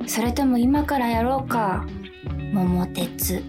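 A woman's voice: fairly high pitch, takes it slowly, over music. A woman playing a cute, seductive demon of May blues in a radio drama, sweetly and languidly coaxing someone to be lazy. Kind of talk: Japanese radio drama acting.

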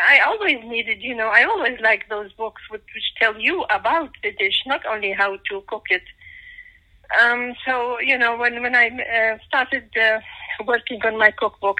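A woman's voice: fairly high pitch, sharp tone. An older woman from Iraq, a cookbook author, talking as a guest in a casual interview, hesitant with many fillers and restarts, heard over a phone line.

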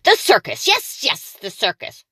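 A grouchy, ravenous old storybook witch, as a grandmother puts her on, screeching high and shrill. Her voice leaps wildly up and down as she plots and cackles. The sound is close and dry. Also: sing-song, takes it slowly, pushed and bright, no echo, heavily acted. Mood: scheming.

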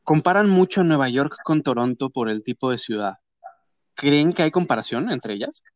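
A man with a Mexican accent hosting a casual chat and interviewing guests, slangy and talkative with quick asides. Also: mid-range voice, lively intonation, no room sound, muffled recording. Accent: Mexican Spanish. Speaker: host.

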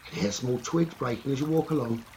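A man leading a guided meditation slowly and soothingly, in short phrases with long pauses. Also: mid-range voice, mellow, rough recording. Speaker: meditation teacher. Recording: running stream water noise behind voice. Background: trickling stream water ambience.

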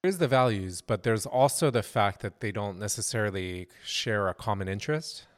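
A man hosting a conversational interview, speaking in a measured, thoughtful way. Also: lowish voice. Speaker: interviewer, host.

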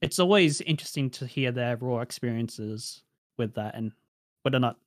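A man's voice: medium pitch, moderate pace, dry recording. Young australian man, thoughtful, lots of fillers, dry close recording. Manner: reflective, many fillers like "like" and "um". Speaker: interviewee. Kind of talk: casual interview answers.

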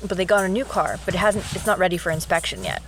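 Low-voiced rambling woman podcaster. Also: fast talker, soft background music. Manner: rambling, many restarts and fillers. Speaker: podcast co-host. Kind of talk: casual chat.

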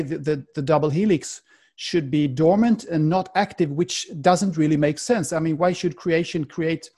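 Male host, Swedish first language, dark mellow timbre, thoughtful and halting phrasing. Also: fairly high pitch. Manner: thoughtful, searching for words, with many fillers. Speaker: podcast host. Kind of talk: interview questions.

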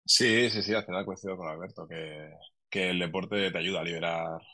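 Man, bright forceful tone, lowish pitch, chatty co-host. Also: fairly even intonation, normal speed. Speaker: podcast co-host. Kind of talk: conversational interviewing.

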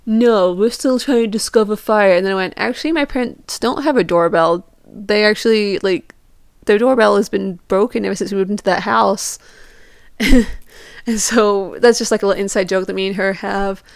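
Young woman, smooth dry-sounding voice. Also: medium pitch, normal speed, no echo. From Portugal and England. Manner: informal, peppered with "like", acts out quoted questions. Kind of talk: casual chatty storytelling.

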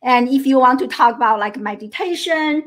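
A woman's voice: high-pitched, lively intonation, moderate pace, fairly bright tone. A middle-aged woman from China with a Chinese accent, giving an earnest motivational talk as a coach and often checking in with "right?". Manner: earnest, many restarts and "right?" tags.

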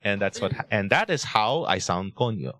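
A man's voice: fairly low pitch, sing-song, fairly bright tone, dry recording, clean studio sound. A Filipino man, co-host of a podcast, chatting casually in Tagalog and English with an American Midwestern-leaning accent, often laughing at himself. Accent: Filipino speaker with American-leaning English. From Philippines. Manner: laughing, self-mocking, quick bursts.

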